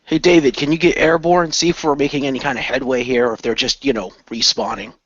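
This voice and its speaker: A man's voice: mid-range voice, steady tone, fast, no room sound, dull audio, lightly acted. A man playing a plain-spoken, fretful clawed beast fighter in an audio role-play, hesitant and sheepish. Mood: urgent.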